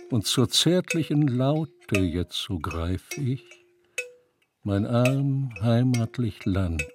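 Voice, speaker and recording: An actor playing a wistful, homesick man reciting old-fashioned verse in a radio play, with music behind him. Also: medium pitch.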